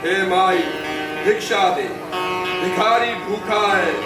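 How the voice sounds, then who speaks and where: Slow, high-pitched vengeful demon king. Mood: chanting, pleading. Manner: declamatory, chanting, slow delivery. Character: proud vengeful demon king, also posing as begging holy man. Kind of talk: theatrical mythological audio drama. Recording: echoey distant playback under loud music.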